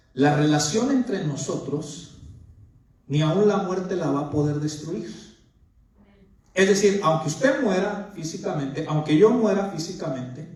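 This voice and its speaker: A man's voice: fairly high pitch, darkish tone. Man, pastor mid-sermon; lists and repeats for emphasis; very reverberant space. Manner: earnest, keeps calling listeners "brother". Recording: very echoey room, mic far off.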